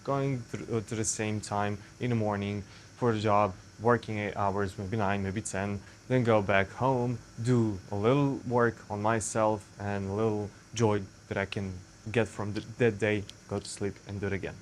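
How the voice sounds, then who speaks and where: A man's voice: on the low side, fairly even intonation, moderate pace, slight background noise, low-quality recording. A young man from Serbia with a Serbian accent, speaking English as a second language in a rambling, self-correcting casual monologue to the camera. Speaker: vlogger.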